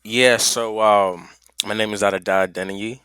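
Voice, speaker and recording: A man's voice: fairly low pitch, steady tone, normal speed, sharp tone, clean tone, no room sound. A young man from Nigeria in his twenties, chatting as a podcast guest in a laid-back, slangy way. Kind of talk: casual conversation.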